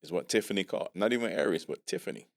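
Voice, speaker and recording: A middle-aged man from Barbados hosting a podcast in casual, animated chat, repeating words and laughing often. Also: sits low, fast, vocal fry, no room sound, clean studio sound. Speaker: podcast host.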